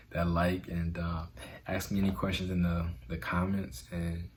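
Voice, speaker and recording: A man's voice: deep voice, flat delivery, slow pace, a little hiss or hum. A man vlogging in an earnest, conversational way about self-acceptance, with a stutter. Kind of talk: motivational vlog talk.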